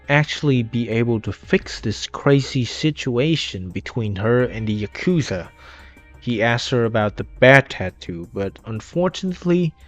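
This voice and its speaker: Man narrating through a dull lo-fi mic. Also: medium pitch. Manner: non-native English grammar. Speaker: video essay narrator. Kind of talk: scripted retrospective narration of a game story. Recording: dull lo-fi mic, music behind.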